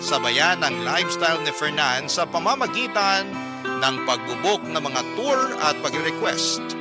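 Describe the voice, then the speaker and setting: A Filipino man narrates a first-person letter in the style of a radio storyteller. His voice sits somewhat high for a man, and prominent music plays behind him throughout. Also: lively intonation, unhurried. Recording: loud music bed under narration.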